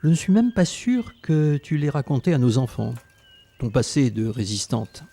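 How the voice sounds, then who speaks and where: A man's voice: medium pitch, sing-song, moderate pace, vocal fry, mellow. A man playing an elderly former Resistance fighter, narrating his memories tenderly and reflectively in a radio drama. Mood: tender, nostalgic.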